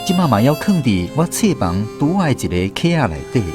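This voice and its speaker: A man's voice: mid-range voice, big pitch swings, fairly quick, music in the background, natural voice. A man with a Taiwanese accent playing a grown grandson who narrates memories of his grandfather in a reflective, tender radio drama style. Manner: storytelling, reflective. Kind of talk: scripted first-person radio drama narration.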